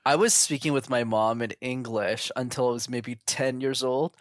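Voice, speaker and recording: A man's voice: mid-range voice, fairly even intonation, normal speed, no echo, clean studio sound. A man telling his story as an interview guest in a candid, chatty, casual way. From Montreal, Canada.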